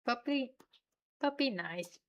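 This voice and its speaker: A young woman from Vietnam with a Vietnamese accent, chatting to viewers in a relaxed, giggly way while she draws on stream. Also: on the high side, slow, dull audio. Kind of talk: casual stream chat while drawing.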